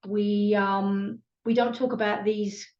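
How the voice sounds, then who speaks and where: British woman, earnest community volunteer, flat even delivery, roomy and slightly muffled audio. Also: mid-range voice, takes it slowly. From Britain. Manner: earnest, passionate, many fillers. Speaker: community support team member. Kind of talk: online panel conversation. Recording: echoey room, dull lo-fi sound.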